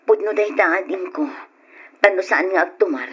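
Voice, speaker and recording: A woman playing an anxious, tender middle-aged mother of a sickly son in a radio drama, with a husky voice and an Ilocano accent. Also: medium pitch, moderate pace, fairly bright tone. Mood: worried, resigned. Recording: muffled old radio recording.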